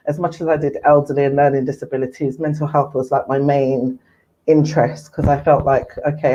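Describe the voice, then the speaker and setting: A woman's voice: deep voice, dark tone. Earnest woman in her forties, muffled audio. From Ghana. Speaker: mental health services manager, guest. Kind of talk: casual interview chat.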